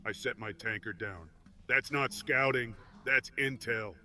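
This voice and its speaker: A man voicing a loyal, steady adventurer, speaking in a firm, quietly reassuring way. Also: mid-range voice, a little slow. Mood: grave. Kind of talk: AI character in a tabletop game.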